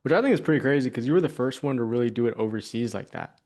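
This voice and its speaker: A male interviewer with an energetic, rapid delivery. He fires off questions and quick affirmations to keep the conversation moving. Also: mid-range voice. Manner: upbeat, quick prompts and affirmations. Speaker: interview host. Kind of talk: casual interview.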